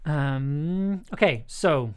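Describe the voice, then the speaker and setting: A streamer chatting casually while working, thinking aloud in a hesitant way and trailing off, with a medium-pitched voice. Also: expressive, extremely slow, smooth. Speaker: streamer, audio drama producer and composer. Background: music playing, keyboard typing.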